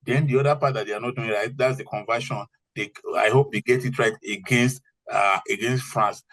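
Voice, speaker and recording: A man's voice: mid-range voice, fairly even intonation, fairly quick, a bit bright. A man with a mid-range voice discusses football as a pundit. He states his opinions with emphasis and spells out his reasoning. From Africa. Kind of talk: opinionated sports analysis.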